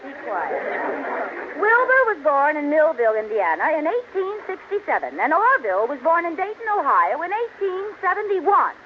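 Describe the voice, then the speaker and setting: Dim but stubborn sitcom housewife, high voice, swooping intonation, old noisy radio recording. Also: female voice, wildly swooping pitch, dull audio. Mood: confident, earnest. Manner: theatrical comic delivery, halting speechmaking. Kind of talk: 1940s radio sitcom acting.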